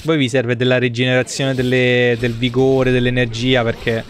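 A man's voice: medium pitch, little pitch movement, normal speed. A man, giving informal, colloquial live commentary on a video game. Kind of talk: casual live gameplay commentary. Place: small indoor room at a desk. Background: game music.

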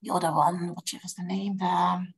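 A woman's voice: fairly low pitch, little pitch movement, normal speed, crowd noise. A middle-aged woman, a guest in a casual panel on a video call, searching for words in English. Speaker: podcast guest, nurse.

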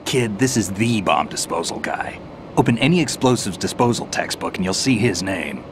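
An actor playing a cool, seasoned soldier in a video game, laconic and self-assured. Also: male voice, fairly low pitch, expressive, normal speed, background noise. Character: cool, seasoned male soldier, calm and dry. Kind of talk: video game cutscene acting.

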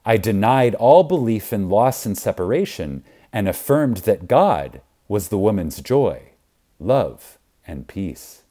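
Slow, low-voiced man reading with dramatic pauses. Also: very animated, dark tone. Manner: reverent, emphatic, with dramatic pauses. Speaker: audiobook narrator. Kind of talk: scripted audiobook narration.

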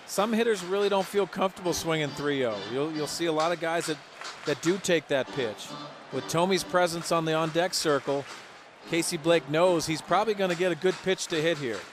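A man's voice: fairly high pitch, moderate pace. An American man giving live baseball color commentary on radio, explaining the play in a conversational way. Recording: loud stadium crowd noise behind. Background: ballpark crowd and outdoor stadium ambience.

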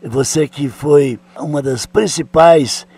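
An older man hosting a radio show, chatting in a lively way and prompting his guest. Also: fairly high pitch, slow, slightly rough. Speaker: radio host. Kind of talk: radio interview.

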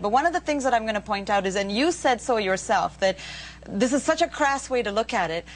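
Woman, thirties, insistent debater, fairly high pitch, studio panel, slight background noise. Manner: insistent, argumentative. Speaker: media spokesperson, panel guest. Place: TV studio round table. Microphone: lapel.

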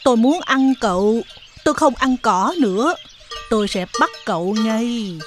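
A plump, grumpy cartoon cow, speaks slowly in a highish female voice. She is hungry and threatening, with clear music behind her. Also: lively intonation. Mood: greedy. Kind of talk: children's cartoon dub. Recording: music over the voice. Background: children's music.

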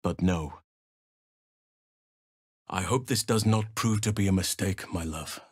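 An actor playing a gentle, solemn druid leader in a video game, speaking in a measured, formal, earnest manner. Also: male voice, deep voice, husky, dry recording, clean studio sound, full character voice. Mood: grave, concerned. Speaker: video game companion character. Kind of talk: video game voice acting, formal fantasy dialogue.

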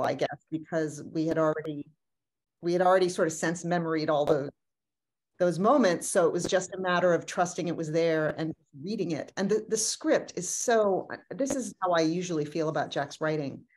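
Lower-voiced woman reflecting on a video call. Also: a little mellow, poor audio. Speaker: actor in an audio drama cast. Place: room at home, indoors.

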